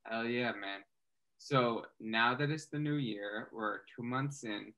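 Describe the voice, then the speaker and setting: A man hosting an interview podcast, talking in a relaxed, slangy way with "bro" and "like" fillers. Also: mid-range voice, slow pace, muffled recording. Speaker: podcast host. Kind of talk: casual interview chat.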